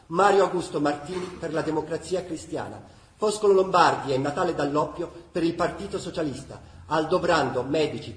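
An actor playing a formal chronicler, reading a scripted account of wartime events. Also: male voice, a little high, lightly acted. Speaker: narrator. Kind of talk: scripted theatrical chronicle narration. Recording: echoey room, faint background noise.

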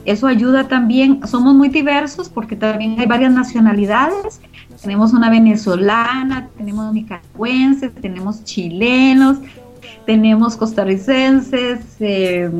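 A woman being interviewed, explaining her organization's work in a conversational way. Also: on the high side. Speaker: interview guest from a community media organization. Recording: dull lo-fi audio, music behind.

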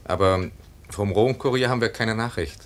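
An actor playing a deferential airline clerk who reports in brisk, formal, terse phrases in a 1950s German radio drama. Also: male voice, lowish voice, lightly acted. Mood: dutiful, uneasy.

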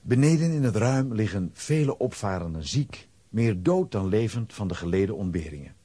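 A man narrating a children's radio play in a dramatic, expressive storytelling style. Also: on the low side, moderate pace, husky, no room sound.

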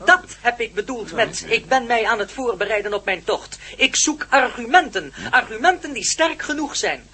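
An actor playing a solemn, gentle alien in a radio play, speaking in formal, measured declarations. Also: mid-range voice, lively intonation. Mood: calm, assured. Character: Jack, solemn gentle alien being of plant-like race.

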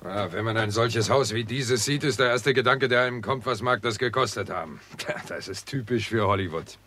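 An actor playing a shrewd, dryly sardonic police inspector in a 1960s German radio crime drama. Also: male voice, medium pitch, normal speed, sharp tone, a little hiss or hum, close to an everyday voice. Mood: musing, sardonic. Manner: dry, musing, sardonic. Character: wry, shrewd male police inspector in Hollywood.

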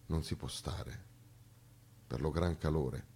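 A man's voice: extremely low pitch, steady tone, takes it slowly, creaky, husky, full character voice. A man performing as a grave storyteller, narrating history in an emphatic, theatrical stage-monologue style. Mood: hushed, grave. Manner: solemn, emphatic delivery swinging from declaimed to hushed. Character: grave male storyteller recounting a massacre with indignation.

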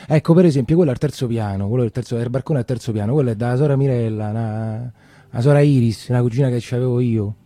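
An actor playing a wry, grumbling working-class coffee shopkeeper in a theatrical monologue, speaking colloquially with a Roman accent. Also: male voice, medium pitch, dark tone, no room sound, studio quality. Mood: wry, fond. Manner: colloquial, muttering to himself, exclaiming.

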